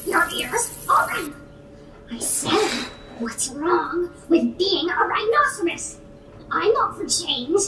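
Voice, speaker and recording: A woman playing a gruff, snappish, contemptuous man who is turning into a rhinoceros, in a radio play. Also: very high voice, sing-song, takes it slowly, full character voice. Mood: scornful. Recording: roomy with faint noise and clicks.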